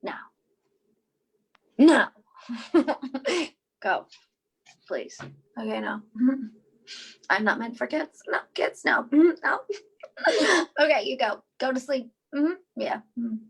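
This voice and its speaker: A woman voicing a gentle, anxious, awkward monster in a scripted podcast, with a halting, giggly delivery. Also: high voice, expressive, vocal fry, husky, exaggerated voice. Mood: awkward coaxing. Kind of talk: scripted podcast character reading.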